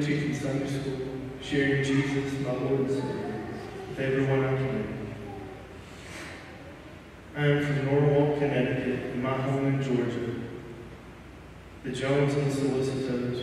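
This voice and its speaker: Hombre emocionado en una iglesia con eco. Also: male voice, mid-range voice, flat delivery, a little mellow, a little hiss or hum. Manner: halting and emotional, trailing off at line ends. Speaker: son giving a eulogy. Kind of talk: reading a memorial poem, then personal eulogy. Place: church sanctuary, speaking at a lectern. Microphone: lectern microphone, recorded from a distant camera. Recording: echoey church hall from far off.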